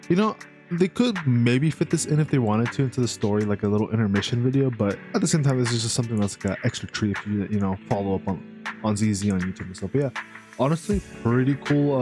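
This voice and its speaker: A man's voice: mid-range voice, lively intonation, fast talker, over music. A man giving casual, rambling reaction commentary as a gaming streamer, laid-back and informal.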